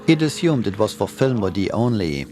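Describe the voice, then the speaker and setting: A man narrates a horror tale in a fairly low voice. His scripted reading sits over clearly audible noise and ambience. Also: very animated, normal speed.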